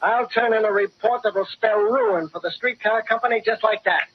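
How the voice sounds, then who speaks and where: A corrupt city inspector in an old radio drama. He is high-pitched, slangy and grumbling, a tough little schemer. The recording sounds dull and noisy. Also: big pitch swings, normal speed, vocal fry, full character voice. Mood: wary, conniving. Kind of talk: 1940s radio acting.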